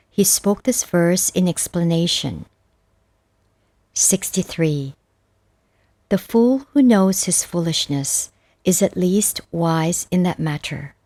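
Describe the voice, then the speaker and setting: Slow, mellow woman narrator. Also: fairly low pitch, no room sound. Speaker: audiobook narrator. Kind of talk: scripted narration of Buddhist verses and stories.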